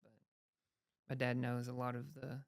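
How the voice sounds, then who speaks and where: A woman's voice: rumbling low, flat delivery, normal speed, vocal fry, no room sound. A woman hosting a podcast interview, chatty and fond of saying yeah and like. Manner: chatty, says yeah and like a lot. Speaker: podcast host. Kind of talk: casual interview chat.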